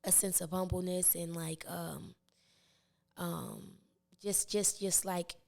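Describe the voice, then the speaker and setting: A young woman with a raspy voice, a touch lower than most women, talks in a loose, rambling way. She fills her sentences with "like" and restarts as she goes. It sounds like a relaxed podcast interview. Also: slow. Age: 20s. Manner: rambling, many fillers and restarts. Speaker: rapper and podcast guest. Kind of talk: casual interview chat.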